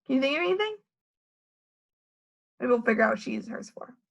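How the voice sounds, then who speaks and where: Lady teacher reading a kids story out loud, really lively, bit of vocal fry. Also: sits high, fairly quick, muffled recording. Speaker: teacher reading aloud to pupils. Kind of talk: animated children's story read-aloud.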